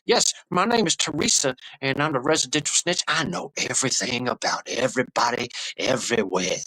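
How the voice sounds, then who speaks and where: A man's voice: mid-range voice, brisk, sharp tone, rough recording. A man voicing a nosy middle-aged woman who gossips with smug self-satisfaction.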